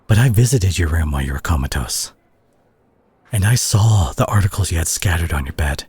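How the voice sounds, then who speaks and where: A man acting a concerned superhero who confronts a reckless friend in a dramatic audio roleplay, speaking earnestly and haltingly with a husky, breathy voice. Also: deep voice, expressive, darkish tone, exaggerated voice. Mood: somber, pained.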